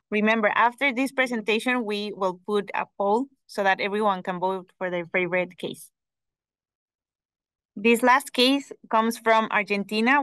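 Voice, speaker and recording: Smooth-voiced woman moderator, dry sound. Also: mid-range voice, fairly bright tone, no echo. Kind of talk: announcing speakers.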